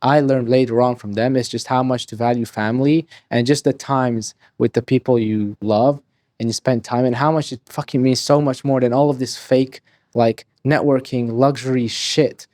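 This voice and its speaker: A nineteen-year-old man from Hungary, rambling quickly and swearing freely as a podcast guest. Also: mid-range voice, steady tone, darkish tone, no echo, studio quality. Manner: rapid, rambling, swears freely, restarts sentences. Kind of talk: casual interview talk.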